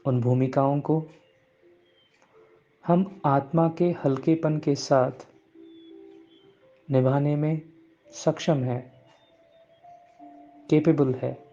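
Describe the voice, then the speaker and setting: A man leading a guided meditation in slow, measured phrases with long pauses between them. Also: a little high, fairly even intonation, dark tone. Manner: repeats some phrases in English. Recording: dull lo-fi sound, music bed. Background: background music.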